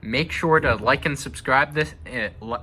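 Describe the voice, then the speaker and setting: A man's voice: mid-range voice, normal speed, sharp tone. A young man hosting a casual, conversational chat about games and movies. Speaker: host. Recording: clearly noisy background.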